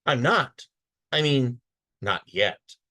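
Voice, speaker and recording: A man voicing an eager, determined young girl in a children's storybook, earnest and excitable. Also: medium pitch, takes it slowly, exaggerated voice. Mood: quietly determined.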